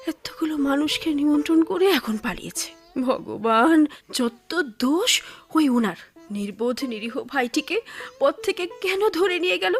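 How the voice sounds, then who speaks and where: An actress playing a proud, poor young village wife in a radio drama, anxious and dramatic. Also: female voice, high voice, vocal fry, exaggerated voice. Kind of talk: Bengali radio drama acting. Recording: music behind the voice.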